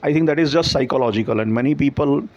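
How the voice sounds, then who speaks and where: A man's voice: medium pitch, fast, darkish tone, muffled recording. A man with a Gujarati-influenced Indian English accent, Gujarati his first language, talking thoughtfully and explaining with examples and small self-corrections. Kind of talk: reflective conversational talk.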